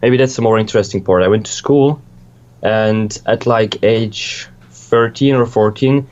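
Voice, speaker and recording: A man's voice: lowish voice, unhurried, a little mellow, muffled recording. A young man from Switzerland, a music producer, chatting casually in an interview, rambling and swearing freely with lots of restarts.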